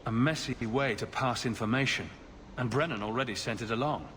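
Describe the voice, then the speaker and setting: A man's voice: mid-range voice, brisk, a crowd in the background, lightly acted. An actor playing a male fighter in a fantasy video game, delivering dramatic dialogue. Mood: wry, questioning. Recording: loud ambient background noise.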